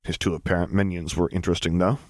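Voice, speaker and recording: A man narrates a scripted story. His voice is notably deep. The sound is dry, with no room around it. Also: steady tone, fast, a little mellow, no echo. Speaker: audiobook narrator.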